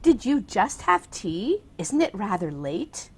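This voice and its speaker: An actress playing a gentle, easily delighted elderly spinster aunt in an amateur audio drama. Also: female voice, medium pitch, sing-song, slow, slightly rough, heavily acted. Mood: fluttery. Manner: soft and fluttery.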